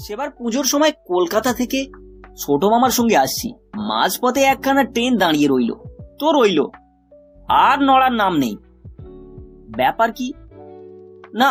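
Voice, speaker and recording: A man narrating a spooky cartoon tale expressively, with hesitant pauses. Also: high-pitched, fairly bright tone. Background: music.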